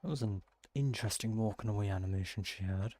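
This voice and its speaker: A man's voice: lowish voice, fast talker, dark tone. A man giving casual gameplay commentary as he plays. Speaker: game streamer commenting on gameplay.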